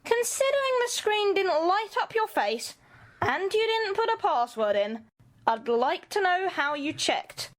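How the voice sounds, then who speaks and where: Woman acting a bossy, very high-voiced leader. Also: sing-song, measured pace, full character voice, rough recording. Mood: exasperated. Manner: stern, cutting. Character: bossy, exasperated woman taking charge. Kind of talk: amateur radio play acting.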